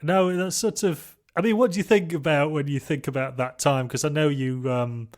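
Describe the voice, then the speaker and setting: Chatty English podcast host. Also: male voice, fairly high pitch, normal speed, a little mellow. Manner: chatty, laughing, rambling. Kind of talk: casual podcast conversation.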